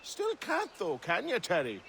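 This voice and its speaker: An actor playing a cocky, competitive, teasing colonial villager in a video game. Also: male voice, high voice, wildly swooping pitch, a little slow, gravelly, fairly bright tone, a little hiss or hum, full character voice. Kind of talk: video game cutscene acting.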